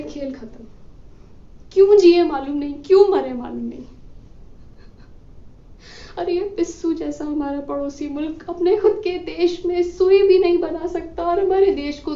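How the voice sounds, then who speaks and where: A woman's voice: extremely high pitch, dark tone. A woman acting a bitter, condemned male revolutionary in a theatrical monologue, scolding an apathetic crowd with impassioned scorn. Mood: angry, accusing. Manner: scornful, impassioned, declamatory with sarcastic commands and rhetorical questions. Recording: muffled lo-fi echoey room recording.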